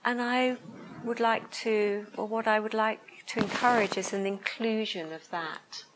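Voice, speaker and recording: A woman with a soft voice giving a reflective meditation talk to a group, speaking slowly and pausing often to search for the right words. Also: mid-range voice, fairly even intonation, a little hiss or hum, rough recording. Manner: slow, hesitant, searching for words. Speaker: meditation teacher. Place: room with a listening group.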